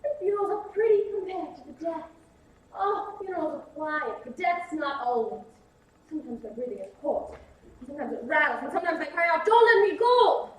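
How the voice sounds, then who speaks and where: An actress playing a fragile, faded belle, theatrical and close to hysteria in a 1950s film drama. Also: female voice, high voice, moderate pace, close to an everyday voice. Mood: anguished. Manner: theatrical, breathy, swings to near hysteria. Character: fragile, faded Southern belle, highly strung. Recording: echoey, distant old film soundtrack.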